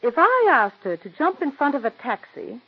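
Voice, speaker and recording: An actress in 1940s radio acting, playing an imperious, famous stage actress with a haughty, drawling manner, on a dull old radio broadcast. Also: female voice, high-pitched, very animated, moderate pace.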